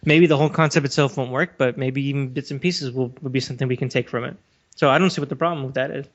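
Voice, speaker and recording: A lo-fi, slightly muffled recording of a man with a mid-pitched voice. He talks casually as a podcast co-host, arguing his opinions as he explains them. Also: steady tone, fast talker. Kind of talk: casual discussion of video games. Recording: dull, lo-fi voice recording.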